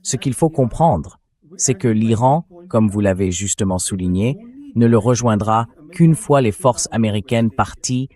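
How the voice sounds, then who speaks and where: A man with a fairly low synthetic voice delivers translated commentary. He sounds like an interview guest explaining an argument in measured steps. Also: warm tone. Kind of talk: translated political commentary voice-over read by synthetic voice.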